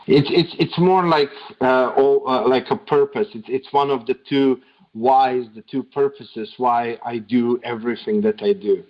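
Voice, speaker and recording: A man from Slovenia with a Slovenian accent answers casually as a guest, often repeating words as he explains. His voice is mid-pitched and comes through a dull, lo-fi online call. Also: measured pace. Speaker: podcast guest.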